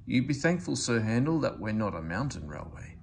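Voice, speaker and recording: A man voicing an old male engine, firm and knowing, gently teasing. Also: medium pitch, big pitch swings, a little fast, vocal fry, slight background noise.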